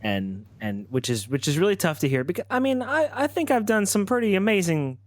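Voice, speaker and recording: A man improvises his character's part at a tabletop game in a dry recording. His pitch moves around a lot, and he talks haltingly, thinking aloud as he goes. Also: very animated, no room sound. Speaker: roleplaying game player.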